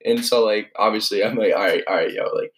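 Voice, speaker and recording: A young man with a low, deep voice tells a personal story on his own podcast. He rambles along in a casual chat style and leans on filler words constantly. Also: brisk, fairly bright tone. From New England. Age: young adult. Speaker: podcast host.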